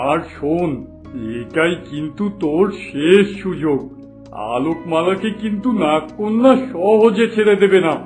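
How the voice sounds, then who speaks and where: A man plays a stern cartoon holy hermit with a fairly high voice for a male. He speaks emphatically and commandingly, as a grudging adviser, over background music. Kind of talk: dramatic cartoon voice acting.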